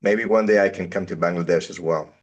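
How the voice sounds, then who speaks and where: Deep-voiced American man on a video call. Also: fairly even intonation, dull audio. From Israel. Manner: measured, repeats words while searching for phrasing. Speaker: potential client. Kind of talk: casual video call conversation. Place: home office.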